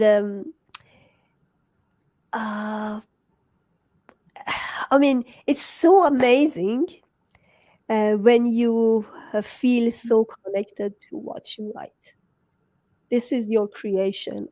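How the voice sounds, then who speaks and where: Female interview host, native Farsi speaker, Persian accent, high-set voice, unhurried delivery. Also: mellow, no echo. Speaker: host, book coach. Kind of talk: conversational interview.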